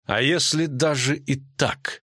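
A haughty queen, performed by a male narrator, speaks with cool sardonic authority. The delivery is measured and clipped through the teeth, in a dry audiobook recording. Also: medium pitch, expressive, a bit bright, no room sound, close to an everyday voice. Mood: icy, sardonic.

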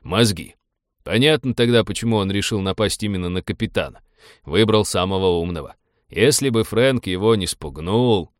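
A man's voice: on the low side, normal speed, no room sound, clean studio sound, close to an everyday voice. A man voicing a mocking, sardonic pilot with mock-profound delivery in an audiobook.

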